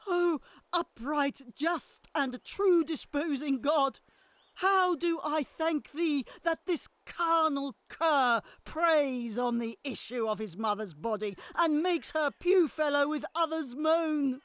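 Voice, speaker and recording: A woman's voice: high-pitched, slow, dry recording. A woman playing a bitter, vengeful old deposed queen in an audio drama, moving from hissed whispers to fierce curses. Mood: cursing. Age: older. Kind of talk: Shakespearean audio drama acting.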